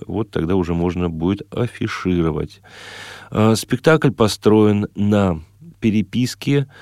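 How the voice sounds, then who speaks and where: A man talking as an interview guest, explaining his creative work in measured, rather formal phrases. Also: low-pitched, darkish tone, dry recording, clean studio sound. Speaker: guest, chamber singer and radio play maker.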